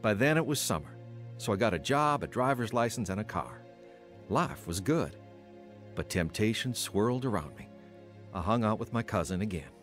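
A man's voice: on the low side, animated, normal speed, faint music behind, lightly acted. An actor playing a remorseful man, earnestly narrating his troubled, drug-addicted youth in a radio drama. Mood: reflective. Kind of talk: scripted first-person radio drama narration.

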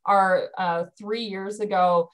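A woman's voice: mid-range voice, fairly even intonation, slow. A woman in her thirties explaining a dairy program on a video call from home, earnest, with frequent ums and restarts. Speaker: dairy program staff presenter. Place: bedroom at home. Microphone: video call webcam.

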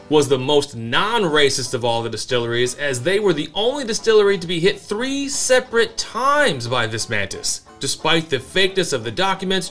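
An American man in his thirties co-hosting a show, narrating comic history and bantering in a dry, deadpan manner. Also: medium pitch. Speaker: co-host of a whiskey review show. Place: small indoor home-style bar set. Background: background music with guitar.

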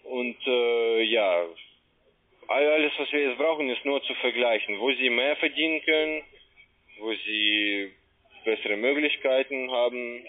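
A man gives a phone sales pitch in non-native German with grammatical slips. He is halting and often repeats himself. His medium-pitched voice comes through a muffled, narrowband telephone line. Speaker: call centre agent pitching online trading.

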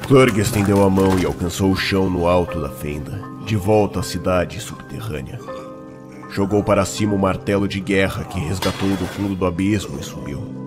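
A man's voice: low-pitched, moderate pace. A man with a Brazilian accent narrating a scripted fantasy drama in a solemn, theatrical storytelling manner. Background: loud dramatic music.

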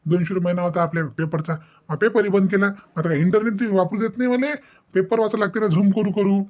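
A man's voice: high-pitched, fairly even intonation, quick pace, a little mellow, close to an everyday voice. A man voicing a grumbling old husband in a family cartoon, aggrieved and repetitive, with a Varhadi Marathi accent. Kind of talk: cartoon family comedy acting.

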